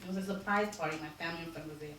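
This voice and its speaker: A woman's voice: on the low side, rapid-fire. Laughing woman organizer, echoey hall. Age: 30s. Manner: lively, laughing, self-deprecating asides. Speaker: community organizer. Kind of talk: informal talk to doctors. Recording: very echoey hall audio from far off, with noise.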